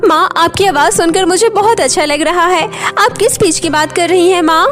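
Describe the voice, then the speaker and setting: A woman's voice: very high-pitched, moderate pace, fairly bright tone, faint music behind, voice pushed up. A woman voicing a poor, caring orphaned elder sister in a cartoon story, earnest and tender. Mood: tearful distress. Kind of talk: Hindi cartoon moral story.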